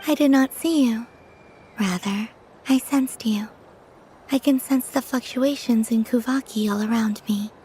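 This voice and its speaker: A woman's voice: fairly high pitch, unhurried, dark tone, husky, poor audio. A woman voicing a serene, naive young moon goddess in a video game, curious and literal, speaking simply and earnestly.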